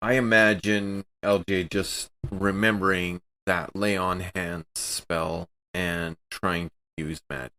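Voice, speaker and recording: A man playing a tabletop game, chatting and improvising casually with an expressive, dramatic manner. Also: low-pitched, takes it slowly, hardly any pauses.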